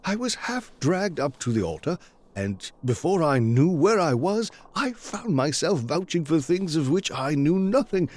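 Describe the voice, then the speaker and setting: Earnest victorian gent, loyal sidekick type, super expressive up and down delivery, audio drama. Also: male voice, a little high, unhurried. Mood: flustered, amused. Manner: formal, expressive storytelling diction. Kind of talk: period audio drama acting.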